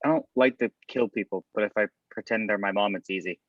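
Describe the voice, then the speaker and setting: A man's voice: fairly low pitch, fast talker, no echo, lo-fi sound, natural voice. A man playing an awkward, morbidly earnest misfit supervillain in a script read-through.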